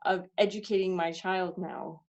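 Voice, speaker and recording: A woman, a parent, speaking candidly and haltingly about her frustrations in a reflective self-inquiry session. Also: fairly low pitch, steady tone, muffled recording. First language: not German. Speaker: parent doing the worksheet.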